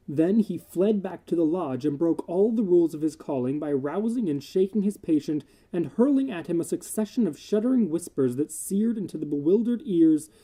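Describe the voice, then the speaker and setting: A narrator giving a measured, solemn dramatic reading of literary horror fiction. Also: medium pitch, warm tone.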